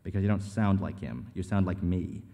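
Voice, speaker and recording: A man's voice: low-pitched, level tone, quick pace, warm tone, natural voice. A man reading the part of a bitter, grieving man in a script, sardonic and self-loathing, in an echoey hall. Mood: defiant, wounded. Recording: echoey hall, long reverb.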